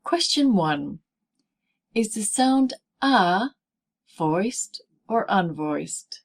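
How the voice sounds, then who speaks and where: A woman presenting a scripted pronunciation lesson as a teacher, speaking in deliberate, clearly segmented phrases. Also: medium pitch, big pitch swings. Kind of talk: scripted instructional narration in easy English.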